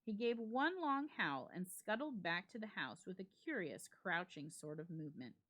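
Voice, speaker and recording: Expressive woman reading with lively pitch. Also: moderate pace. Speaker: library staff reader. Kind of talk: dramatic reading of a classic ghost story.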